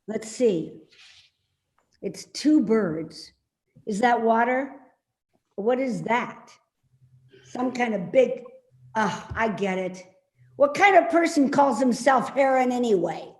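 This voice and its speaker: Woman doing an old mom in a stage play, sarcastic and needling, highish voice that swings around a lot. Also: lively intonation, slow, pauses often, darkish tone. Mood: prying, needling. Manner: dry, needling, dismissive. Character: hobbling, sharp-tongued elderly mother, evasive and sarcastic. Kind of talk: readers theater stage-play acting.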